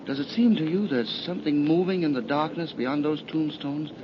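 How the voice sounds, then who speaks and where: A man's voice: on the high side, moderate pace, natural voice. An actor playing a nervous, superstitious, easily frightened man in a 1930s style radio horror drama, anxious and dramatic. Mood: uneasy, eerie. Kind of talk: 1930s old time radio horror acting. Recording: muffled, very noisy vintage radio recording.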